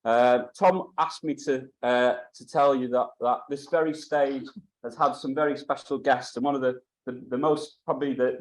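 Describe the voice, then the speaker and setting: A man welcoming a meeting from a theatre stage, talking in halting bursts with many restarts. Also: medium pitch, low-quality recording. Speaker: venue manager.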